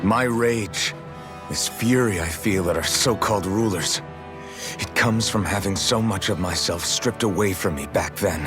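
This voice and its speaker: An earnest young hero from a fantasy game, troubled yet determined. The voice is on the low side and brooding, over clearly audible music. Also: male voice, a little slow. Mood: solemn, resolute. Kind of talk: dubbed anime-style game voice acting. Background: prominent background music.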